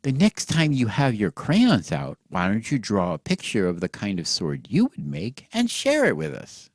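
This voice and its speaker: A man telling a satirical bedtime story as if to children, in a theatrical, mock-earnest way with drawn-out words and hushed drops. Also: mid-range voice, wildly swooping pitch, dry recording.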